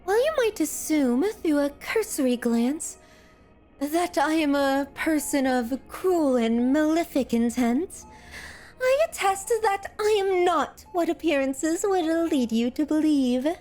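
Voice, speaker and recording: Creepy lovestruck yandere lady for asmr roleplay, super high voice, really slow n drawn out, fancy formal wording. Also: expressive, darkish tone, faint music behind. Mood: courteous, menacing. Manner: florid, theatrical, formal diction, lingering over words. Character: obsessive yandere woman, genteel kidnapper artist, menacingly adoring. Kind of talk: scripted ASMR roleplay monologue.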